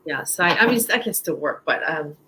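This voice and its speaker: A woman in her fifties from Japan, speaking English with a Japanese accent as a guest in a casual interview, halting, with many fillers and restarts. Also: deep voice, lively intonation, bright, forceful tone, a little hiss or hum, low-quality recording. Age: 50s.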